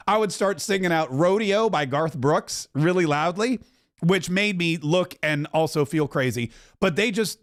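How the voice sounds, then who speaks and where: A man in his forties hosting a political commentary podcast, talking emphatically and rambling, now and then breaking into loud singing. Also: on the high side.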